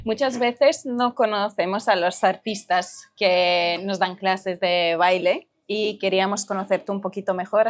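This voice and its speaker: A woman hosting a podcast, warmly inviting her dancer guest to share his background. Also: mid-range voice. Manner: friendly, inviting questions. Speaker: podcast host. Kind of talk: podcast interview.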